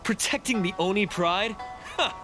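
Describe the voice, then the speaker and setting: A man's voice: high voice, normal speed, natural voice. Man playing a bitter, scornful oni outlaw. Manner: taunting, accusatory, dramatic. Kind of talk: video game voice acting. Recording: music behind the voice.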